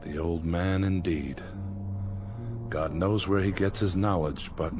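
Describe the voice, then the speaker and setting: An actor playing a weary, sardonic survivor with a wry, commanding manner in a radio drama. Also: male voice, sits low, slow pace, background noise. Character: weary, sardonic male survivor, cautious authority figure.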